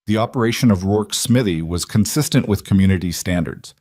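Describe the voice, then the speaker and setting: Male storyteller, fairly low pitch, deliberate scripted western narration, dry close recording. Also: a little mellow, no echo. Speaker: audiobook-style story narrator.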